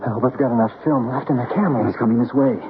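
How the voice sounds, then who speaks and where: An actor playing an anxious, earnest homeowner in a 1970s radio drama. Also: male voice, medium pitch, rapid-fire, natural voice. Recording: tinny 1970s radio broadcast with faint noise.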